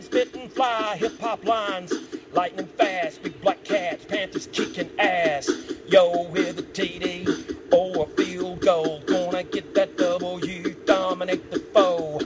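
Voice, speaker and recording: A man's voice: high-pitched, steady tone, takes it slowly. A man rapping a sports team song, chanting rhymes over a beat. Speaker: rapper. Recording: lo-fi song track with loud music.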